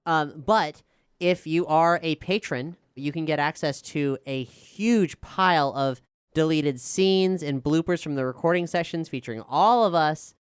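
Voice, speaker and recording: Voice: mid-range voice, unhurried, smooth, no echo, studio quality. A showrunner and cast member telling stories in a reflective, expansive way on a casual Q&A panel. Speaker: showrunner, writer and cast member of an audio drama.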